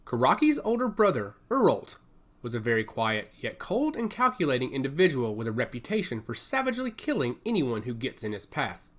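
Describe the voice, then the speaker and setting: A man's voice: medium pitch, wildly swooping pitch, brisk. A man narrating an action story as a scripted, dramatic audiobook.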